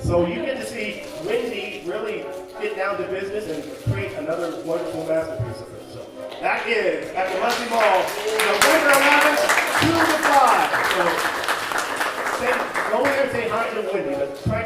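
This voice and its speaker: High-voiced man hosting in an echoey hall. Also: animated, moderate pace, a loud crowd. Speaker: show host and announcer. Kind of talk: live stage announcements to an audience, folksy and chatty. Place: community hall, live before an audience. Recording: echoey hall from far off, music and audience noise.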